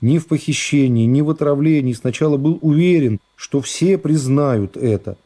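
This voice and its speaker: A man's voice: a little high, moderate pace, warm tone. A man narrating classic literary prose for radio, giving it a scripted, dramatic reading.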